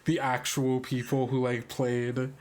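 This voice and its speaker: A man's voice: medium pitch, monotone, slow, a loud crowd. A man speaking as game master and podcast host, chatting casually and informally with players and listeners. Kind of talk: casual podcast sign-off and chat.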